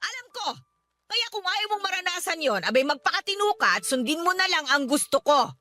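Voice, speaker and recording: A woman's voice: extremely high pitch, very animated, fairly quick, bright, forceful tone, faint music behind, exaggerated voice. A woman acting a domineering older mother in a radio drama, scolding and bossy as she threatens.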